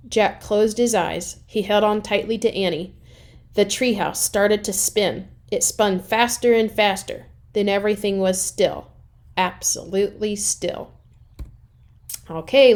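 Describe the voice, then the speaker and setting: Female read-aloud storyteller for children's chapter books: mid-pitched, expressive, with dramatic pauses. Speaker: reader of a children's chapter book.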